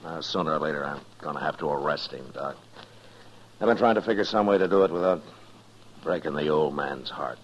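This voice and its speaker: An actor playing a stern, steady frontier marshal in 1950s radio style, with a terse, authoritative manner. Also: male voice, rumbling low, brisk. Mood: weary, concerned. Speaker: actor in a western radio drama. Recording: dull, noisy 1950s radio recording.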